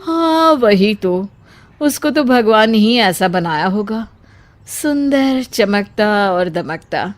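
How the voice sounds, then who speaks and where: A woman's voice: mid-range voice, lively intonation, slow pace. A woman voicing a figure-conscious, slightly vain lady of the house in a cartoon story, matter-of-fact in manner. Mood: admiring, wistful. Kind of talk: cartoon family story acting.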